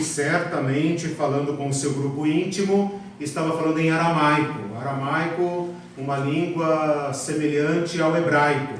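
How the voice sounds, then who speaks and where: A man with a Brazilian Portuguese accent teaching a theology class, often tagging questions onto his sentences and repeating words for emphasis. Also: on the high side. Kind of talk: classroom lecture. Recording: very echoey room, voice far from the mic, faint noise.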